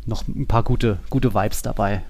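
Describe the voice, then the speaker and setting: A man's voice: fairly low pitch, fairly even intonation, measured pace, creaky, a little mellow. A man co-hosting a football podcast, chatting casually in loose, colloquial phrasing.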